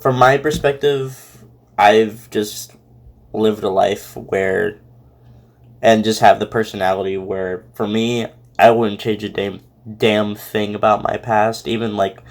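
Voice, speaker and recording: Halting, rambling man giving casual reaction commentary. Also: fairly low pitch, steady tone. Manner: halting, rambling, heavily repeating words. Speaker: host reacting to a fan fiction audio reading.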